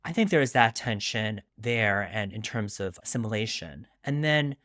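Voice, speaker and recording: A man's voice: fairly low pitch, moderate pace, fairly bright tone, no echo, clean studio sound. A middle-aged man from Long Island, New York, speaking candidly and reflectively as a guest in an interview, often adding asides. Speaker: guest author.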